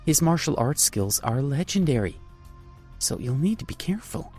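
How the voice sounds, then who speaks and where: A man's voice: fairly high pitch, big pitch swings, moderate pace, a little mellow, slightly rough, faint music behind, voice pushed up. A man voicing a worried young woman who pleads anxiously with her brother. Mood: worried, pleading. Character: worried protective young woman, his sister.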